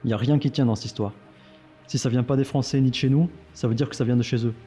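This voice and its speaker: Man acting a fast-talking, mellow, cynical agent. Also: medium pitch, steady tone, faint music behind, lightly acted. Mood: suspicious, weary. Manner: dry, sardonic. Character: weary, cynical male intelligence agent, suspicious and curt. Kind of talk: French audio fiction dialogue.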